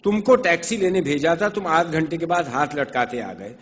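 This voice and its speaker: A man voicing an exasperated elderly master in a comic story, lecturing his foolish servant with slow emphasis. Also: fairly high pitch, lightly acted. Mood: exasperated, scolding. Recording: noisy reverberant hall recording.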